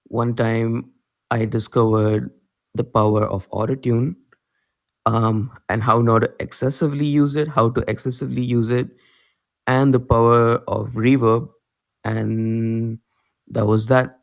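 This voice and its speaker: A young man with an Indian accent, a musician guest talking casually in an interview, relaxed and quick to laugh. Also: mid-range voice, gravelly. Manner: relaxed, laughs easily, loose filler-heavy phrasing.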